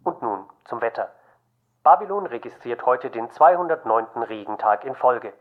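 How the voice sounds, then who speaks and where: A man's voice: mid-range voice, expressive, moderate pace, natural voice. A man playing a formal, matter-of-fact news and weather presenter, reading from a script. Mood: official, neutral. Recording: tinny, phone-like broadcast sound.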